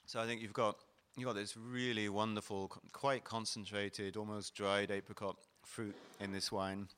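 A man with a lowish voice talks through a wine tasting with steady, even intonation. His tone is smooth and resonant, and he describes each wine in a patient, explanatory manner. He sounds like a middle-aged host at a fair. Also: normal speed. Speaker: panel host. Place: event hall at a wine fair.

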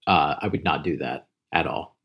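A man's voice: very deep voice, normal speed, a bit bright, breathy edge. A man with an American accent talking casually as a podcast co-host. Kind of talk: casual chat.

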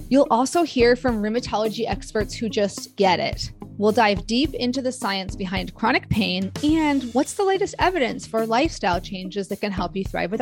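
A woman of about forty speaking enthusiastically as a podcast host. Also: fairly high pitch. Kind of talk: upbeat podcast introduction and hosting.

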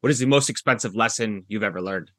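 A man's voice: lowish voice, quick pace, fairly bright tone, no echo, studio quality. A man hosting a podcast interview in a conversational style, putting questions to guests and commenting. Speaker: podcast host.

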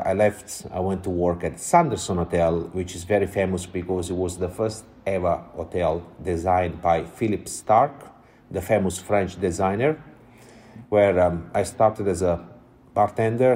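A man in his forties from Italy, speaking English with an Italian accent as a guest, telling his life story in a relaxed, chatty way with frequent fillers. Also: low voice. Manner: warm storytelling with small laughs and frequent "uh" fillers. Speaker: guest, drinks entrepreneur. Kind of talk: casual interview chat.

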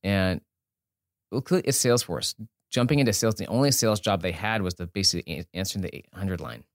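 A man with a fairly low voice speaks casually as an interview guest. He thinks out loud, often breaking off a sentence to rephrase it. The sound is dry and close, with very little room. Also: quick pace, no room sound. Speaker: podcast guest, entrepreneur and author.

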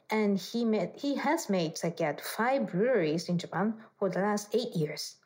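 A woman's voice: mid-range voice, darkish tone. A woman presenting as a podcast host, moving between a prepared introduction and conversational interview questions. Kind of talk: radio interview with scripted introduction.

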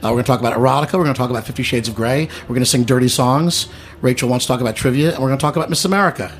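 A man's voice: mid-range voice, very fast, slight background noise. A man hosting a casual, joking talk show in a radio studio.

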